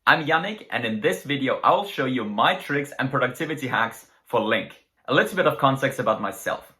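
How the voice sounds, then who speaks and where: Bright-voiced Austrian man explaining software. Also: medium pitch, lively intonation, brisk. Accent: Austrian German. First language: German. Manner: upbeat, explanatory, occasional fillers. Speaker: language learning tutorial host. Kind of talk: screen-recorded software tutorial.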